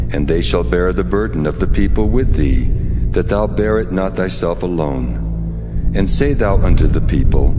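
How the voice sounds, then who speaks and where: An actor playing a stern, commanding male God in a dramatized scripture reading, solemn and weighty in delivery. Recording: long booming reverb, music underneath. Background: music.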